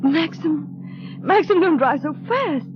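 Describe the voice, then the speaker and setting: An actress playing a gentle, anxious young wife in an old radio drama, earnest and wistful in her delivery. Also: sits high, animated, slow. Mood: uneasy. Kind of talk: 1930s radio drama narration and acting. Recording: muffled old radio broadcast, music behind.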